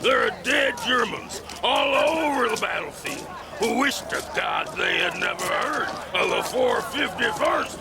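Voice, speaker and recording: An actor playing a feverish, dying colonel in a radio drama, rambling and rallying his boys in a strained, gravelly shout. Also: extremely high pitch, lively intonation, measured pace, hardly any pauses, exaggerated voice. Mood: fervent, rallying. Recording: noisy outdoor scene, crowd behind.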